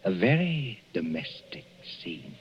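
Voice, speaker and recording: A man with a lowish voice narrates in 1940s radio suspense style. He is very slow, deliberate and ominous, with music behind him on a muffled old recording. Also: big pitch swings, husky. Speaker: announcer and narrator.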